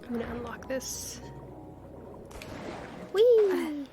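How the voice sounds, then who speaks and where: A woman's voice: high voice, very animated, extremely slow. A woman giving casual livestream commentary on a video game, thinking aloud in a playful, exclaiming manner. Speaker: game streamer. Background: game music.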